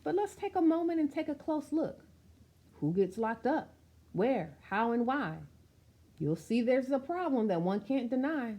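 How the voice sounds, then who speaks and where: A woman reads a picture book aloud for children in a soft-edged, mellow voice. Her pitch moves in lively swings, and she speaks straight to her young listeners in an expressive way. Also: measured pace. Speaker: storytime reader. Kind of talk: read-aloud of a rhyming children's picture book with chatty asides.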